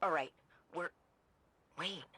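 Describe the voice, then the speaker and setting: A woman's voice: fairly low pitch, takes it slowly, pushed and bright, breathy edge, full character voice. A woman playing an earnest, focused young scientist-adventurer in a game. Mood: focused, hushed. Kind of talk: dramatic game dialogue.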